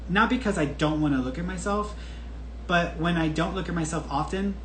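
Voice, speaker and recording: A man's voice: a little high, brisk. A man in his thirties, a podcast host answering a viewer's question in a casual, candid, chatty way. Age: 30s. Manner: chatty and candid, with frequent restarts and laughs. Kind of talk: casual solo talk. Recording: echoey room with faint background noise.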